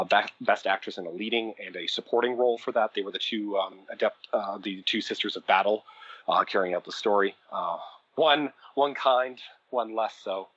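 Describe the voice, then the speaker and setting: A low-pitched man with a husky, slightly breathy voice, telling behind-the-scenes stories in a loose, rambling way, full of ums and self-mocking asides. The sound is dry and a little dull, like a modest home podcast setup. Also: a bit bright, dry recording. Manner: rambling, self-deprecating storyteller with frequent fillers and laughs. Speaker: audio drama producer and writer, podcast guest. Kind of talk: casual interview chat.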